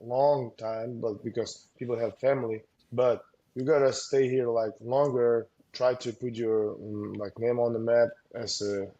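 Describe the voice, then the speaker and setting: Halting coach with a mellow voice. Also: male voice, medium pitch. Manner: halting, with many fillers and restarts; earnest advice-giving. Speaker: combat sports coach, guest. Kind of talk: casual interview talk.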